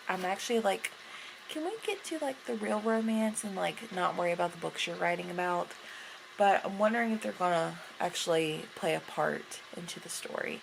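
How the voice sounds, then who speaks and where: A young woman talks casually to her viewers in a homemade vlog. Her voice sits at an average pitch, and her delivery is informal and conversational. The recording is made indoors at home, with noticeable ambient noise behind her. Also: vocal fry, low-quality recording. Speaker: book vlogger. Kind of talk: casual vlog chat about reading.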